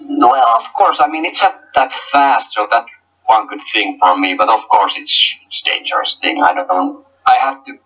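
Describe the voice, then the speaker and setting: A young man from Finland with a Finnish accent, a guest coach talking in a casual online interview, halting with many fillers and restarts. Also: medium pitch, lively intonation, husky, distant mic, highs cut off.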